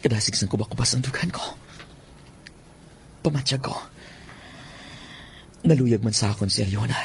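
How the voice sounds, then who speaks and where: A man's voice: mid-range voice, moderate pace, gravelly, slight background noise, lightly acted. An actor playing a lovestruck young man, stammering and exclaiming, in a Filipino-accented radio drama. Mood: smitten, musing. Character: lovestruck, flustered young man wandering the forest. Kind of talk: Philippine radio drama acting. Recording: faint music behind the voice.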